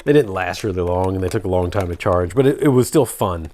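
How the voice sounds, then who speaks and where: A low-pitched man talks casually through a nostalgic hobby show-and-tell. He rambles and thinks aloud as he goes. Faint noise and clicks sit behind his voice. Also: lively intonation, brisk, darkish tone. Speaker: RC hobby channel presenter. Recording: clear background noise with clicks.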